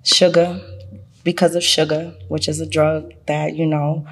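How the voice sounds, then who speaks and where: A woman with a strong Boston accent talks as a guest in a relaxed interview. Her voice sits low and has a gravelly edge. She laughs easily and fills her pauses with ums. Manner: lively, laughing, many ums. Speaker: guest, actress. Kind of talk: casual interview chat.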